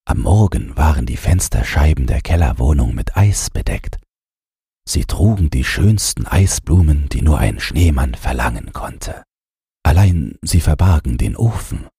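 A man reading a bedtime storybook as an audiobook narrator, with a gravelly, husky voice and measured, drawn-out phrasing. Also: extremely low pitch, lively intonation, dark tone, dry recording, clean studio sound. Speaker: audiobook reader.

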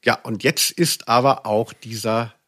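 A man's voice: mid-range voice, unhurried, fairly bright tone. A man in his forties hosting a podcast, chatting casually in a rambling, humorous and self-deprecating way. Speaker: podcast host. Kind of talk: casual humorous anecdotal chat.

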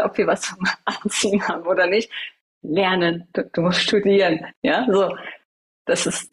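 A woman's voice: lowish voice, husky. A woman in her thirties whose first language is Farsi, a guest telling her life story in a casual interview, hesitant and reflective with many fillers.

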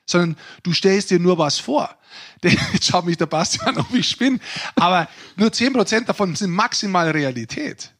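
Male podcast co-host, slightly high voice, earnest and philosophical, feeling his way through sentences. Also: lively intonation, moderate pace, fairly bright tone. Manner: earnest, philosophizing, searching for words. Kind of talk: casual reflective podcast talk.

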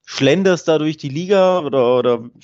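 A man's voice: fairly high pitch, moderate pace, darkish tone, muffled recording. A man co-hosting a football betting podcast, speaking hesitantly and hedging his opinions. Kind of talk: casual football analysis chat.

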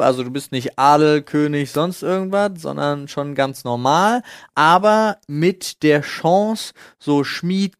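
A man's voice: on the high side, dry recording, clean studio sound. A man chatting casually as a podcast co-host, thinking aloud in loose, self-correcting sentences. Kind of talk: casual chat.

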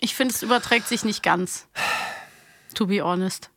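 A woman hosting a podcast, chatting casually and informally in an animated, anecdotal way. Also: mid-range voice, normal speed, dry recording, clean studio sound. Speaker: podcast host. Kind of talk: casual chat about children's audio dramas.

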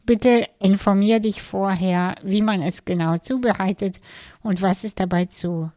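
A woman hosting a podcast, speaking softly and gently, with hesitant fillers in casual chat. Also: mid-range voice, steady tone, a little slow, no room sound. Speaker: podcast host. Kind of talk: calm spoken intro and casual interview.

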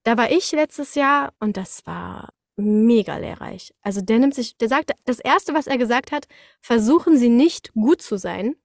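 Higher-voiced woman, dry close sound, casual explaining. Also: a little slow, vocal fry, dry recording. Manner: animated storytelling with little acted-out sound words. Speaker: voice actor, interview guest.

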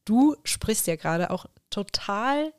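A woman from Rüsselsheim, co-hosting the chat, lively and often laughing, and she says her voice carries an aggressive undertone. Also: medium pitch, slow, smooth, no room sound, studio quality. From Rüsselsheim, Germany. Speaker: podcast co-host. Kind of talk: casual interview chat.

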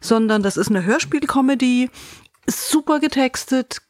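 A woman's voice: high-pitched, normal speed, a little mellow, dry recording, studio quality. A woman hosting a podcast review in a casual, conversational way. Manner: conversational, acts out imagined quotes.

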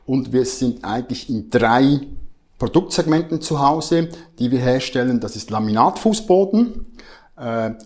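A man's voice: medium pitch, expressive, measured pace, darkish tone. A man in his forties with a Swiss German accent, a podcast guest who talks earnestly with hesitations and self-corrections. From Switzerland. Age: 40s. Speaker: guest, marketing head. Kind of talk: podcast interview.

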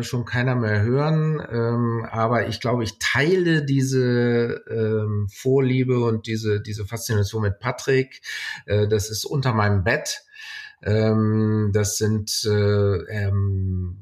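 A man giving conversational interview answers as a guest, searching haltingly for words and mixing in English business jargon. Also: lowish voice. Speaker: interview guest, company product executive.

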